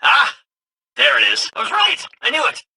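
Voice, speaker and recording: A man playing an excitable, cheeky game sidekick and guide who talks casually and stammers when flustered. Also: on the high side, moderate pace, heavy background noise. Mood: excited, flustered. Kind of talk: video game character dialogue.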